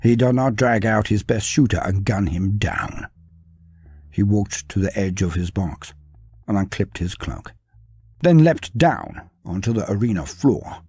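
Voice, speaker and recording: A man's voice: on the low side, lively intonation, unhurried, darkish tone, breathy edge, no room sound. A man narrating a fan-made war story in dramatic storytelling fashion. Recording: dry close mic with faint music.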